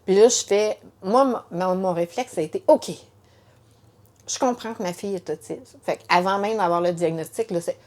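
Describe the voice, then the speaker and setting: Halting middle-aged Quebec woman. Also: mid-range voice, a little mellow. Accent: Quebec French. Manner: halting, repeats words, many fillers. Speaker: mother telling her story. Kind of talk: emotional personal testimony in conversation.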